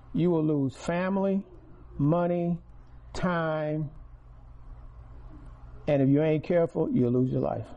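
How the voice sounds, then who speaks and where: A man's voice: fairly high pitch, dull audio. A man, giving a preaching testimony to a congregation, repeating key words and building volume on stressed phrases. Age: 60s.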